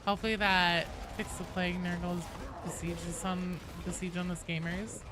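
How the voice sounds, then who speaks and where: A woman's voice: fairly low pitch, flat delivery, normal speed, music in the background. A woman hosting a casual game live stream, chatting playfully with viewers and breaking into sudden exclamations, with teasing, jokey banter. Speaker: video game streamer and host.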